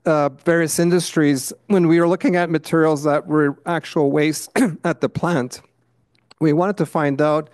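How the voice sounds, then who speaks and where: A man presenting at a conference, his voice somewhat high for a man and fraying as he loses it. He clears his throat again and again and ends points with a questioning "right?". The sound is close and dry. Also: darkish tone, no room sound. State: losing his voice. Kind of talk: conference talk with slides. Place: conference meeting room.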